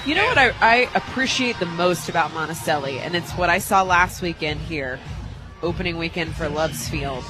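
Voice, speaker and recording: An American woman giving casual, conversational and emphatic analysis as the color commentator on a softball radio broadcast. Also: lowish voice, expressive, normal speed, over music, low-quality recording.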